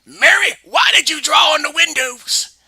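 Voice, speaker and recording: Woman playing an exasperated mom, shrill yelling, high and loud, bright edge. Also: a little slow, no room sound, full character voice. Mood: furious. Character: exasperated single mother, quick to shout.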